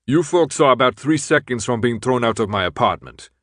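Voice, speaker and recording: A man voicing a gruff, scornful gray-haired man with a gravelly voice and a curt manner, in an audiobook. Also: lowish voice, sing-song, moderate pace, fairly bright tone, no echo, studio quality. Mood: disgusted, angry. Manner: curt, dismissive.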